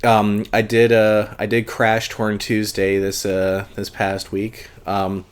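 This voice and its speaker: A man with a fairly low voice hosts a live book club stream and chats easygoingly with his viewers. He sounds a little congested and pads his sentences with plenty of ums and uhs. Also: slow, clear, resonant tone. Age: adult, long-time convention goer. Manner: hesitant, many fillers, relaxed and chatty. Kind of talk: casual live-stream chat reading viewer comments.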